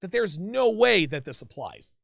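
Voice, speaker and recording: Guy hosting a call-in show, kinda high voice, keeps saying dude, dry close sound. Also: sing-song, normal speed, creaky, fairly bright tone, no echo. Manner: casual, repeats himself, calls people "dude". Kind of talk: live call-in advice show.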